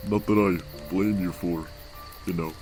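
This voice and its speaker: A man voicing a weary, wisecracking skeleton big brother in a comic dub, casual and halting, with a tender, secretly anguished streak. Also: low voice, steady tone, a little mellow. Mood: gentle, serious. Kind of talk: comic dub character acting. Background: background music.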